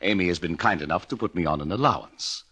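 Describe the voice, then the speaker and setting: Low, husky, sardonic uncle. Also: male voice, fast, sharp tone, full character voice. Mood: sardonic, needling. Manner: mock-polite, pointed, formal. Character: bitter, sardonic uncle of a decaying wealthy family. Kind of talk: old-time radio drama acting.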